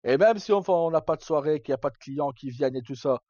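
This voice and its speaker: A man's voice: fairly high pitch, fast, dark tone, dry recording, highs cut off. A man hosting a livestream, chatting informally and casually with some mild swearing. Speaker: livestream host. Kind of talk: casual chat.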